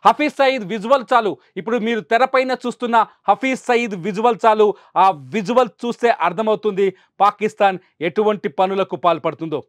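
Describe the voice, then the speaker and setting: A man's voice: sits high, brisk, fairly bright tone, dry recording, faint music behind. A man presenting news commentary emphatically, repeating phrases for rhetorical weight.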